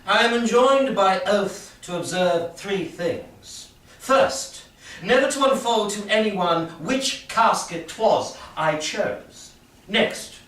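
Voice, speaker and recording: Self-satisfied prince played by a man, high voice, slow stagey verse delivery, recorded distant in a reverberant room. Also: fairly bright tone, exaggerated voice. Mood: solemn. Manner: pompous, deliberate declaiming with dramatic pauses. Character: pompous, self-satisfied prince choosing among caskets. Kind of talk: Shakespearean staged reading, theatrical verse. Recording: echoey room from far off, faint noise.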